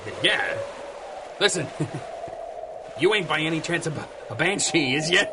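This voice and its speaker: A man's voice: a little high, lively intonation, a little slow, noisy background. A man acting a dim, nervous, obliging 1930s gangster henchman in a radio drama, with slangy, ungrammatical talk.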